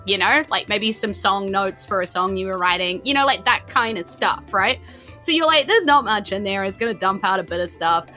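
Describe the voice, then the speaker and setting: A woman's voice: mid-range voice, expressive, moderate pace, bright, forceful tone. A woman with a New Zealand accent casually improvising narration as the game master of a tabletop game. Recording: music playing behind. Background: background music.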